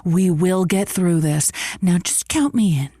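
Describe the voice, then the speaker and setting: A woman's voice: lowish voice, unhurried, mellow, dry recording, clean studio sound. An actress playing a female radio advice host who is awkwardly game and slyly jealous, with hesitant, theatrical delivery and sardonic asides. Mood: feigned concern, scheming. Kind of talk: scripted comedy audio drama.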